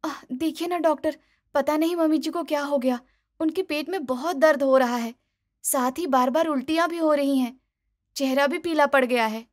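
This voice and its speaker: A woman's voice: high voice, normal speed, voice pushed up, close to an everyday voice. A woman voicing a stubborn young daughter-in-law with whiny, dismissive protests in a cartoon moral story. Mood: worried, flustered. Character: stubborn, breezy young daughter-in-law who loves corn.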